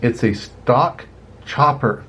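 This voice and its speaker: A man's voice: medium pitch, slow, slightly rough, heavily acted. An older man voicing a cheerful, eager young male ant inventor who loves showing off his machines in a children's storybook. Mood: eager, happy. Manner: bright, excitable, eager to show off.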